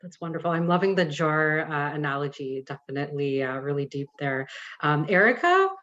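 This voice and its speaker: A low-voiced woman moderates a panel over a video call, thanking each speaker and inviting the next. Her call audio sounds dull and lo-fi. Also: animated, brisk. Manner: warm, encouraging. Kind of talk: webinar moderation.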